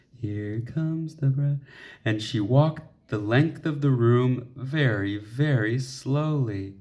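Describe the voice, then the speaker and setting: A man reading a children's picture book aloud in an expressive storytelling way. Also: medium pitch, lo-fi sound. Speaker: storybook reader.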